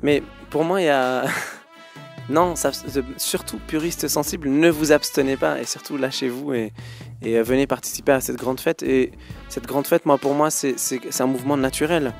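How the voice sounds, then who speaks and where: A man in his thirties with a gravelly, mid-pitched voice answers interview questions as a guest musician. He speaks thoughtfully and hesitantly, with frequent fillers and restarts. Faint music plays in the background. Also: steady tone, normal speed.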